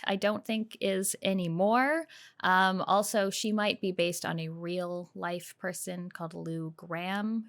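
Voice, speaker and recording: A woman giving casual, off-the-cuff commentary as the host of a video game reaction. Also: on the low side.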